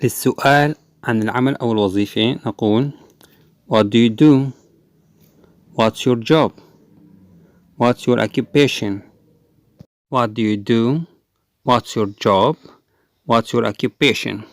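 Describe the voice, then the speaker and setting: A man teaching a language lesson, repeating each example phrase twice slowly and explaining quickly in between. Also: medium pitch, no room sound, studio quality. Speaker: English teacher.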